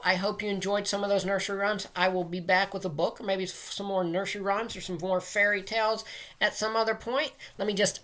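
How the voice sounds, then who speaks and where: A man's voice: high voice, a bit bright. Middle aged guy, teacher type talking to little kids, casual read-aloud at home on a webcam mic. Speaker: teacher reading aloud to children. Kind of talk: casual read-aloud of nursery rhymes for children. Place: small room at home, indoors.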